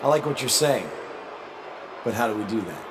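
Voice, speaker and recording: A man's voice: fairly low pitch, lively intonation, normal speed, a little hiss or hum, natural voice, poor audio. A man playing a humble, earnest young underdog wrestler in a video game, speaking sincerely and modestly. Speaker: professional wrestler.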